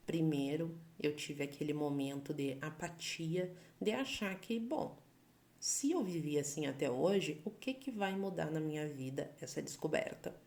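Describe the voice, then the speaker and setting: A Brazilian woman in her thirties, a sign-language educator, calmly sharing a personal testimony in a measured way. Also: sits low, echoey room. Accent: Brazilian Portuguese. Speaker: Libras educator.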